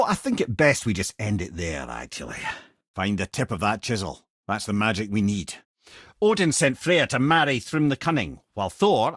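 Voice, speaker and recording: Wry older Scottish sage, severed-head game companion, erudite, avuncular, theatrical storytelling, very expressive pitch, dry close sound. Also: male voice, no room sound. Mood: advising, then grave storytelling. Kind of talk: video game voice acting, storytelling and banter.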